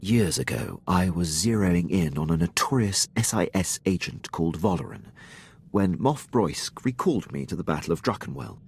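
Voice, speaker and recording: An actor playing a dutiful Imperial military officer in a video game, speaking with precise, deferential formality. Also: male voice, low voice, expressive, moderate pace, vocal fry, slightly rough, full character voice. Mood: grave, intent. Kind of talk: scripted video game dialogue.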